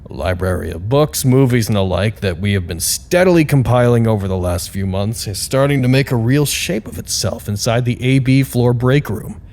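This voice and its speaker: A man's voice: medium pitch, normal speed, hardly any pauses, a little mellow, natural voice. A man acting in an audio drama as a bookish researcher, speaking in a precise, wry, wordy way. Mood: reflective. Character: bookish, dryly formal male researcher keeping records. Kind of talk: audio drama acting, journal-like narration and dialogue.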